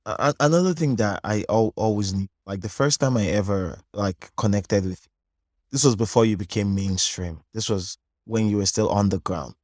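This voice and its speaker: A man's voice: on the low side, moderate pace, darkish tone, no room sound, clean studio sound. A man, a podcast host making a careful, articulate argument. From Nigeria. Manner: articulate, argumentative, builds points in lists. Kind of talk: thoughtful interview talk.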